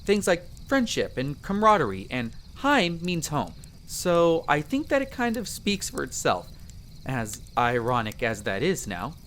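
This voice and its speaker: High, lively young elf explorer played by a man. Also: a little hiss or hum, exaggerated voice. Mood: chatty, informative. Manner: chatty, explanatory, with hesitant pauses. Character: friendly, earnest young male elf explorer of mixed parentage. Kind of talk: character roleplay audio addressed to the listener.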